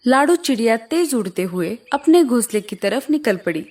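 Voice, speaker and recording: A woman narrator with a fairly high voice tells a children's cartoon tale. She reads from a script in storytelling style. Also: sing-song, moderate pace, darkish tone.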